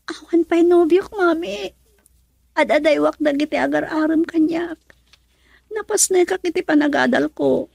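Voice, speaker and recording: An actress with an Ilocano Filipino accent playing a timid, evasive young student daughter in a radio drama. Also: female voice, sits high, moderate pace, creaky, a little mellow, dry recording. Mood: evasive, sad.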